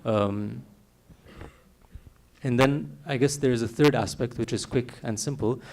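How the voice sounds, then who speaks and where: A young man from Canada whose first language is Armenian, answering thoughtfully on a panel with many pauses and ums. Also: mid-range voice, creaky, a little mellow, a little hiss or hum.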